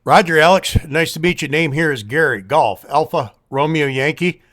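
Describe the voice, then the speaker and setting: A man talking casually as an amateur radio operator in a folksy, measured, plain-spoken manner. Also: fairly high pitch, fairly bright tone. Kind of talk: casual ham radio contact.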